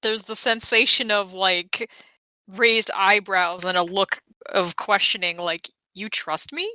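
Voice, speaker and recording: A woman's voice: mid-range voice, fairly even intonation, slow, pushed and bright, no echo, rough recording. A woman playing a tabletop game, casually talking through what her character does.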